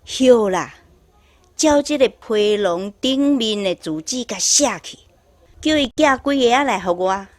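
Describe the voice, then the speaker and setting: A woman playing a chatty, excitable villager in a radio drama, with a Taiwanese accent. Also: on the high side, a little slow. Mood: instructing.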